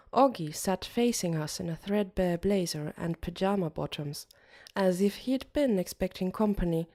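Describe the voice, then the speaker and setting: A woman voicing a curious, uneasy teenage boy who narrates an audiobook in a measured, earnest way. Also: lowish voice, warm tone.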